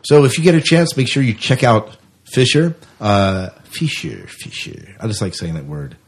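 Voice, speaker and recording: A man's voice: fairly low pitch, animated, normal speed. A man hosting a podcast in a casual, conversational interview style. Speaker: podcast host.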